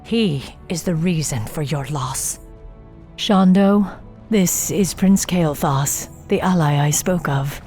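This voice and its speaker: An actress playing a stern, vengeful elven warrior commander in a fantasy game, speaking with cold, formal authority. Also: female voice, low-pitched, takes it slowly, a little mellow, soft background music. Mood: urging. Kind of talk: dramatic game cutscene acting.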